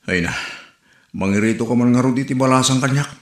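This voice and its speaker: A man's voice: medium pitch, a little fast, roomy sound. Exasperated Ilocano man in a comedy skit. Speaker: single son in a comedy skit. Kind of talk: comedic dialogue acting.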